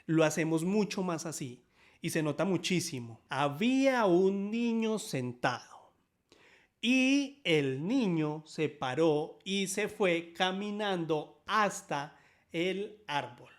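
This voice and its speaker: An upbeat male instructor with a slightly high voice walks viewers through a sign language lesson. He explains step by step and keeps checking in with quick questions. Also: lively intonation.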